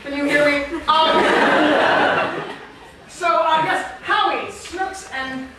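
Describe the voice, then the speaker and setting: A woman's voice: sits high, slow pace, sharp tone. A woman hosting an improvised staged reading, announcing live to an audience from a stage. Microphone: distant recording microphone. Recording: echoey hall from far off, noisy audience. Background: crowd laughter and noise.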